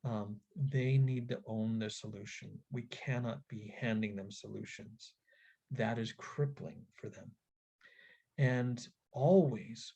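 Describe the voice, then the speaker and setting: A man giving an instructional webinar talk to parents in an earnest, deliberate way, stressing key phrases. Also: on the low side, dark tone. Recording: dull, lo-fi webinar audio.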